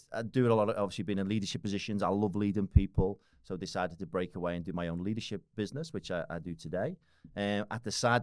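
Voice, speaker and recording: A man in his forties with a Liverpool accent talks in a very low voice at a quick clip. He rambles through a casual podcast chat, restarting his sentences, filling the gaps and swearing offhandedly. The sound is dry and close. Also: clear, resonant tone, dry recording. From Liverpool, England. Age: 40s. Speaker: podcast guest.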